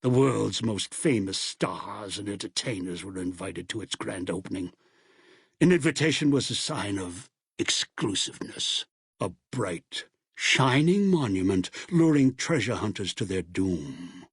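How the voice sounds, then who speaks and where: An actor voicing a bitter, obsessive old schemer in a video game, with a gravelly voice and an ominous, deliberate delivery. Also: male voice, fairly low pitch, animated, no room sound, studio quality, exaggerated voice. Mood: ominous, brooding. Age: older. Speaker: video game villain and narrator. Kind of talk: dramatic video game voice acting.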